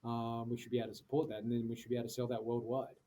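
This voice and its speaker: A man's voice: mid-range voice, monotone, racing, dark tone. A middle-aged man, talking casually as a podcast guest, with many fillers and restarts. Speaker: guest, security executive.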